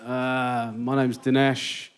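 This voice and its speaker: A man's voice: medium pitch, flat delivery, takes it slowly. A man with a British accent telling anecdotes in a live stand-up set. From Britain. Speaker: stand-up comedian. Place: on stage in a radio theatre. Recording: noisy live theatre recording.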